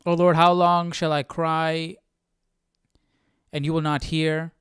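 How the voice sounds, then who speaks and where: A man hosting a casual Bible study livestream, haltingly thinking aloud as he comments. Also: fairly high pitch, level tone, a bit bright, clean tone, dry recording, studio quality.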